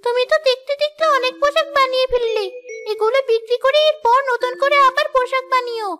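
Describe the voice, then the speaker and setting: Casting: kind little talking forest bird, extremely shrill and squeaky, sweet helpful delivery, children's music underneath. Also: female voice, fairly even intonation, fast, pushed and bright. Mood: friendly. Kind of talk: moral-story cartoon dub.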